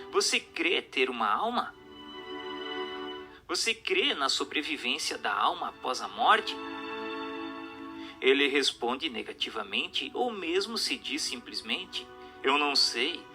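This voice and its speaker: A man reading a doctrinal text as dramatic, formal, declamatory scripted narration. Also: mid-range voice. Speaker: narrator of an audiobook radio drama.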